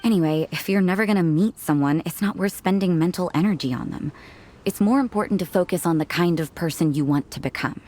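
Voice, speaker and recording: A woman's voice: on the low side, brisk, dark tone, natural voice. A woman acting a cool, cynical, aloof character in a video game, with a dry, detached delivery.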